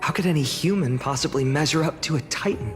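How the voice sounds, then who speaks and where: A man's voice: fairly high pitch, fast, close to an everyday voice. A young man playing a humble village hero in a fantasy game, earnest, hesitant and musing. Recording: long hall reverb under music.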